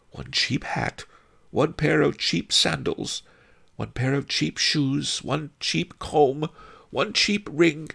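A man voicing a knowing, scornful woman, a storybook noble nurse, reciting things item by item with deliberate emphasis. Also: mid-range voice, vocal fry, fairly bright tone, slightly rough, voice pushed up, exaggerated voice. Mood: pitying scorn.

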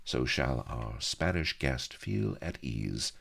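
A languid pirate seductress from a swashbuckling storybook, acted out by a male reader. The delivery purrs and pouts, swooping about in pitch with a coy, dreamy air and an imperious edge. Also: expressive, takes it slowly, gravelly, a bit bright, full character voice. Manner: dreamy, pouting, purring delivery. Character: languid, flirtatious pirate woman, purring and imperious.

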